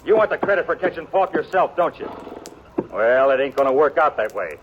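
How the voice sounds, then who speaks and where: An actor playing a pushy, boastful gang leader in a 1950s Western radio drama, talking rough and folksy. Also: male voice, a little high, very animated, fairly quick, noisy background. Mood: indignant, boastful. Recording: muffled vintage radio recording with background sound.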